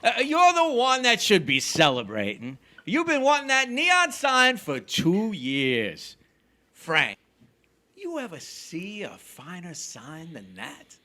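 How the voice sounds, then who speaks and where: Jovial, swooping high-voiced tavern boss. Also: male voice, wildly swooping pitch, takes it slowly, sharp tone, exaggerated voice. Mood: jolly, celebratory. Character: jovial, boastful, unsuspecting husband and tavern boss. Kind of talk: 1940s radio drama acting.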